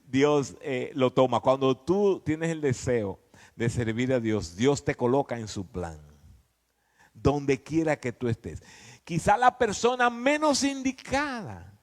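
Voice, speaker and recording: A man's voice: medium pitch, very animated, slow pace. A man preaching in a conversational, emphatic teaching style, often prompting his listeners with questions. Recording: echoey hall with long reverb.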